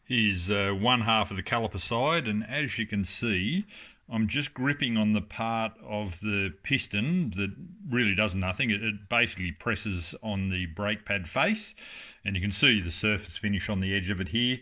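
A man's voice: on the low side, moderate pace, bright, forceful tone. A middle-aged man, explaining a brake repair step by step in a casual, practical way, with plenty of fillers. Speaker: home mechanic restoring a car. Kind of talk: casual how-to narration. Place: home garage workshop.

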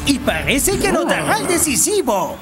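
An actor playing an excitable male match commentator in a cartoon, dramatic and exclamatory. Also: extremely high pitch, moderate pace, heavily acted. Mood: stunned. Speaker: sports commentator. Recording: loud music under commentary.

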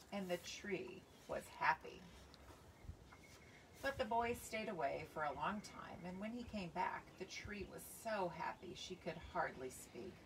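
A woman in her forties, a children's author reading a storybook and chatting casually on a livestream. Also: on the low side. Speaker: children's book author and reader. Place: covered outdoor patio by trees. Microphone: phone or camera a few feet away. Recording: distant mic, clear outdoor background noise.

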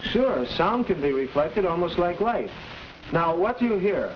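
A man's voice: sits high, sing-song, unhurried, lo-fi sound. An actor playing a patient young American man who explains science didactically in a 1940s instructional film. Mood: instructive. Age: young adult. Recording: noisy 1940s film soundtrack.

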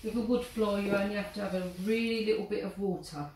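A woman's voice: mid-range voice, fairly even intonation, fast, a little mellow. Patient English woman teacher, echoey home. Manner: patient step-by-step explaining. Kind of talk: instructional home demonstration. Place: home kitchen and hallway, indoors. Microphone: handheld phone filming. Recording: echoey room, noisy phone audio.

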